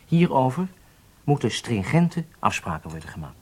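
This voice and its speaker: An actor playing a strict, confident riding instructor in a radio drama, commanding and insistent. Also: male voice, mid-range voice, big pitch swings, normal speed, a little mellow, husky, no echo. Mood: calculating, measured. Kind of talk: Dutch radio drama acting.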